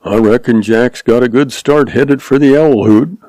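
A man's voice: mid-range voice, measured pace, dark tone, dry recording, natural voice. A man voicing a weary old-order gunfighter who talks in a dry, hard, level voice.

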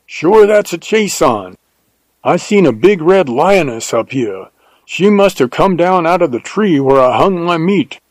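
A man voicing a plainspoken, excitable Texan cowboy with a leisurely drawl in an audiobook. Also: mid-range voice, slow pace, no room sound. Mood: excited. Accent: Texas. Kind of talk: audiobook character dialogue.

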